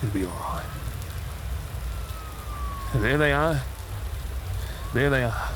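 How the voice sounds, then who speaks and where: A man acts out an Australian crash survivor in an audio roleplay, urgent and protective. His mid-pitched voice is breathless yet reassuring, with drawn-out pacing. A light wash of rain and vehicle noise sits behind him. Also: expressive. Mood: relieved, hurting. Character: injured, protective Australian crash survivor rescuing a trapped driver. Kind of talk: dramatic audio roleplay acting.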